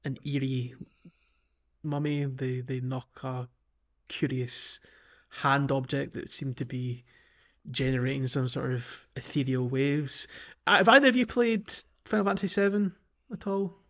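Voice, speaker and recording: A man's voice: medium pitch, fairly even intonation, measured pace, a bit bright, muffled recording. A man hosting a podcast, talking casually about video games. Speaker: podcast host.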